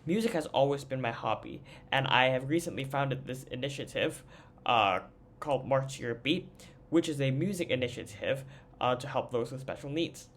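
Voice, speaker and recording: A teenage boy from Hong Kong introduces himself at a steady, measured pace. His voice is mid-pitched, with an occasional filler. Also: a little hiss or hum. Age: teens. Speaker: student panelist.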